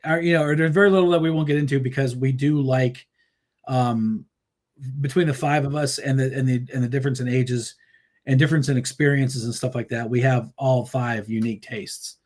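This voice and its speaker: Man, musician guest, casual interview chat, rambling, fillers and restarts, laughs easily, mid pitch. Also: a little fast. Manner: laughs easily, animated storytelling.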